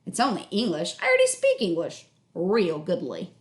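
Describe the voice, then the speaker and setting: A woman's voice: fairly low pitch, wildly swooping pitch, normal speed. A woman voicing a cocky, lazy teenage boy in a storybook, glib and grumbling. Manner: glib, mock-pompous, grumbling. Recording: echoey room sound.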